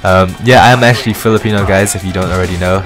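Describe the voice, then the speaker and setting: A man's voice: deep voice, quick pace. A man of about twenty from London with a mixed British and American accent, talking casually as he gives a gameplay commentary. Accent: London English mixed with American. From London, England. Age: around 20. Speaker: gaming video creator. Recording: music behind the voice. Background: music.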